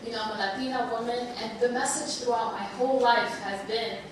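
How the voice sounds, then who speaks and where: Woman, native Spanish speaker, slight cold, earnest and halting, higher voice, distant mic in a big echoey room. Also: fairly even intonation. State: under the weather, slight cold. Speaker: panel speaker. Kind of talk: personal talk to an audience. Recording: echoey hall, far from mic, noisy.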